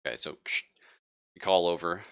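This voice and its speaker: A man improvising narration as game master of a tabletop game, telling it haltingly and with suspense. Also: sits low, fairly bright tone, clear, resonant tone.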